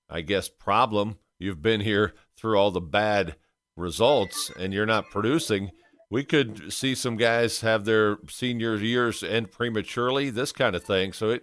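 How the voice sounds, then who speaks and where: Low-voiced man co-hosting sports talk. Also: a bit bright, no room sound. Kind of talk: sports talk, conversational analysis.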